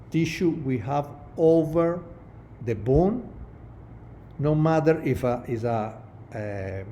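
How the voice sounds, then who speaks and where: Slow, mellow Spanish-speaking man lecturing. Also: medium pitch, a little hiss or hum. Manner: halting non-native English with many restarts. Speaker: prosthodontist lecturer. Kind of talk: webinar lecture over slides.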